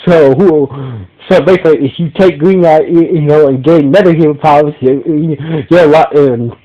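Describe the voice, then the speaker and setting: A man's voice: on the high side, mellow, dull audio. Stuffy-nosed man rambling through a recap. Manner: halting, many restarts and fillers, keeps saying "so basically". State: stuffy nose. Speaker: podcast host reviewing a TV episode. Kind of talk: casual unscripted recap.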